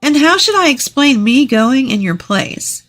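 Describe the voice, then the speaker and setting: A woman's voice: high-pitched, sing-song, a little slow, faint music behind. A woman voicing a meek, bookish younger sister, polite and reserved. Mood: protesting.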